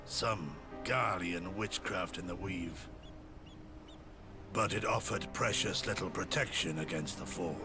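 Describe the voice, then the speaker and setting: A man playing an aged, solemn samurai in a video game, speaking in formal, old-fashioned phrases with a gravelly voice. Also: low voice, slow, music in the background. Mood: grave, recounting. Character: aged male samurai, solemn, gruff, protective storyteller. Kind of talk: dramatic game cutscene acting, archaic diction.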